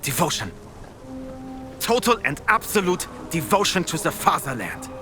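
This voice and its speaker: An actor playing a fanatical, sneering Nazi officer in a video game, theatrical and grandiose, with a German accent. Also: male voice, fairly high pitch, big pitch swings, moderate pace, over music. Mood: fervent contempt. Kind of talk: cinematic video game villain acting.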